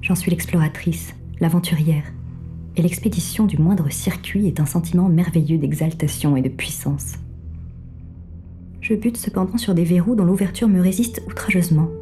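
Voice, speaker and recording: A cool, secretive female machine mind voiced by a woman narrates in measured, literary phrases. Her voice sits slightly low and is very mellow. Faint music runs behind this audio drama performance. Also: lightly acted. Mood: quietly exultant. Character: female artificial intelligence, covert, cool, calculating, exultant. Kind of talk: scripted audio drama narration in first person.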